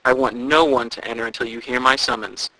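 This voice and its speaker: A man's voice: medium pitch, steady tone, a little fast, sharp tone, no room sound, rough recording. A man playing an imperious ancient queen. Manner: imperious, commanding. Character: proud, greedy ancient queen, commanding.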